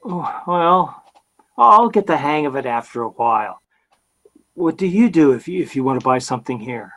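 An actor playing a naive, polite young husband who stammers and hesitates, in old-time radio comedy style. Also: male voice, on the high side, sing-song, moderate pace, a bit bright. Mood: naive, puzzled.

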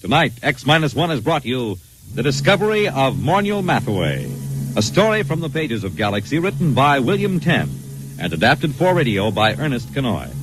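A man's voice: medium pitch, big pitch swings, normal speed, noisy background. A man announcing from a script in 1950s radio style, with a polished, showy delivery. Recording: vintage radio broadcast, music behind. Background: clear music.

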